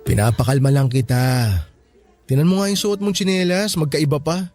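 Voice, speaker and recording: An actor playing a calm, reassuring young male hospital worker in a radio drama, speaking soothingly and earnestly. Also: on the high side, normal speed, lightly acted. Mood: gently reassuring.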